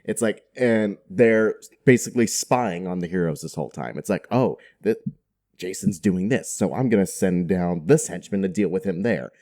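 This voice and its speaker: A man's voice: low voice, normal speed, a little mellow. A man chatting casually as a podcast co-host, informal and jokey in the way he talks. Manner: informal and jokey, often chuckling. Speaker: podcast co-host discussing films.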